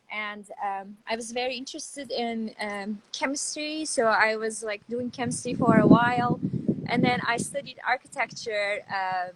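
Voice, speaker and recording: A woman with a Turkish accent speaks in a fairly high voice as an interview guest on a video call. Her delivery is casual and a little halting, as she thinks aloud about her own background and work. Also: bright, forceful tone, no echo, rough recording. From Turkey. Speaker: guest, artist and professor.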